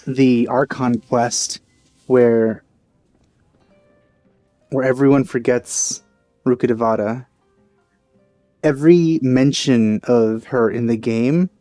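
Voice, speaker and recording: A man's voice: mid-range voice, mellow. A man giving casual let's play commentary, musing in laid-back asides. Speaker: gaming video commentator. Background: faint game music.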